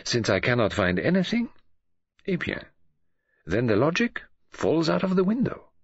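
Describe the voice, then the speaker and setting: A precise older detective speaks with a lilt in a slightly raised, husky voice, as a male audiobook narrator puts him on. His delivery is formal, measured and soothing. The recording sounds dry and a little dull. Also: on the high side, huge pitch swings, no echo, voice pushed up, full character voice. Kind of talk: audiobook character voice.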